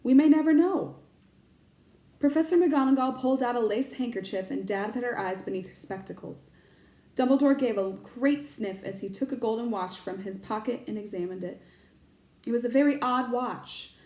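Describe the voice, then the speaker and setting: A woman teacher reading a storybook aloud with expressive narration, recorded in an echoey room. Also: fairly high pitch, normal speed.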